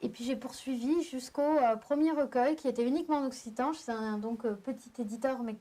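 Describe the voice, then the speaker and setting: A young adult woman from southern France talks about her poetry at a live panel. Her voice is mellow and fairly high, and she pauses and restarts as she works out her thoughts. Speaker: poet and panel guest. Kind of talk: round-table discussion. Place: live public venue.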